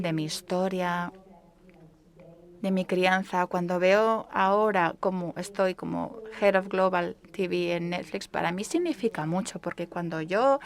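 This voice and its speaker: A woman, interpreting live on stage in a lively, expressive way. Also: medium pitch.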